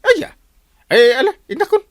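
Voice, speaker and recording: An actor with an Ilocano Filipino accent playing an excitable, exasperated village captain in a radio comedy, stammering as he goes. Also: very high voice, moderate pace, dry recording, studio quality. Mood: scolding. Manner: stammering, sputtering interjections. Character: excitable, exasperated village captain, comic middle-aged man. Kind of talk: comedy radio drama acting.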